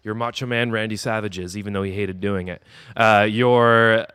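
Man, wrestler guest, cocky and boastful, smooth clear voice, dry close sound. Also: on the low side, fairly even intonation, normal speed, fairly bright tone, no room sound. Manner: boastful, cocky heel persona. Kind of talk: podcast interview.

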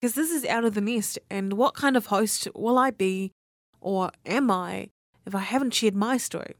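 A 19-year-old woman hosts a student radio show on her own. She talks in a candid, conversational way and drops casual swears. Her voice sits at an ordinary middle pitch, and the recording is dry, with no room echo. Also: moderate pace. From New Zealand. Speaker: radio host. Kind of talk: personal monologue for student radio.

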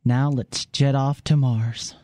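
A man's voice: medium pitch, fairly even intonation, takes it slowly, darkish tone. A man hosting youth radio, introducing pieces and guests in a friendly, plain way.

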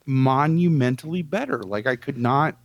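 A man in relaxed podcast talk with a mid-range voice. He is emphatic and loose, swears casually and leans on fillers. The recording is dry and up close. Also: medium pitch, normal speed, no echo. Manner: emphatic, swears casually, hedges with "like" and "you know". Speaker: podcast co-host. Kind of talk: casual chat.

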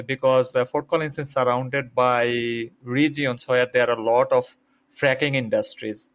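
A young man from Bangladesh speaks as a podcast guest, explaining slowly and carefully. He pauses often and fills gaps with many hesitant fillers, at an ordinary middle pitch. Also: fairly bright tone, clear, resonant tone. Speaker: guest, PhD student. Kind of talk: interview answers.

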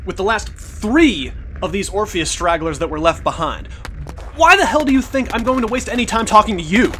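A harried, tough security chief. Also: male voice, high-pitched, lively intonation, moderate pace, background noise. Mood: weary, blunt. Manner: exasperated, blunt. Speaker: actor in audio drama. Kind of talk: audio drama acting.

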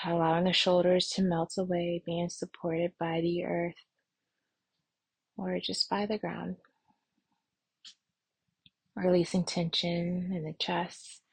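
A woman leading a guided meditation and prayer as an instructor, speaking softly and soothingly with long, unhurried pauses. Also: fairly low pitch, gravelly, husky, dry recording.

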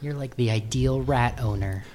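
A man's voice: mid-range voice, moderate pace, darkish tone, dry recording. A man hosting a podcast, introducing the show and putting questions to his guest. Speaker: podcast host.

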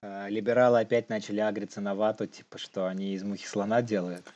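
A man co-hosting a podcast with deadpan, ironic satirical banter, recorded a little muffled. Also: fairly low pitch, fast. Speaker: podcast co-host.

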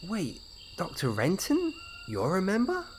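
Man acting anxious dog walker, hesitant and slow, lively pitch, faint night noise behind. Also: exaggerated voice. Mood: bewildered. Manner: hesitant, stammering. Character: nervous, bewildered man out walking his dog. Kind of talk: comedy-horror audio drama acting.

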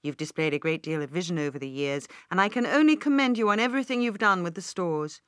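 A woman with a British accent voicing a courteous older male business magnate in an audiobook, measured, gracious and formal. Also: on the low side, lively intonation, clean tone, dry recording, studio quality, natural voice. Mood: warmly admiring.

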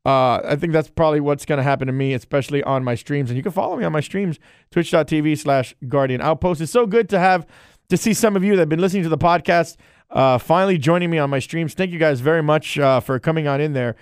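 A man's voice: a little high, fast talker, smooth, dry recording, studio quality. A man hosting a casual solo podcast and chatting in a loose, rambling, friendly way. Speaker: podcast host and streamer.

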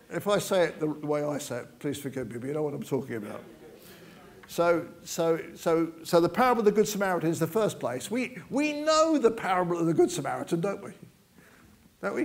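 A man preaching an informal sermon in a chatty, joking way, rambling with many restarts. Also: fairly high pitch.

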